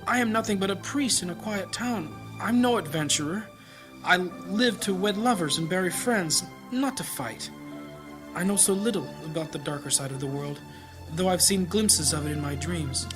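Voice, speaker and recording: A man playing a troubled, devout small-town priest in an audio drama, speaking a halting, pleading prayer in earnest. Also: high voice, natural voice. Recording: music behind the voice.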